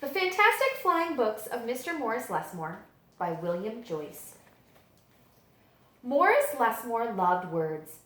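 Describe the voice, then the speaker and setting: A woman reading a picture book aloud to children as a librarian, in expressive, deliberate storytelling narration with drawn-out emphasis. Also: on the high side, echoey room.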